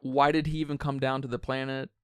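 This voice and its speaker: A man's voice: medium pitch, little pitch movement, quick pace, smooth, no echo. A man co-hosting a podcast, casually giving his opinions on films with dry humour. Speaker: podcast co-host. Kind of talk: casual film discussion.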